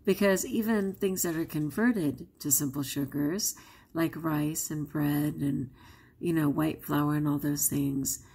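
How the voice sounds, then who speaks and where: Woman vlogger, non-native English speaker, chatty and candid, gravelly fry in the voice. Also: sits low, a little slow, warm tone. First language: not English. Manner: candid and chatty, with frequent laughs. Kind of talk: casual vlog talk to camera.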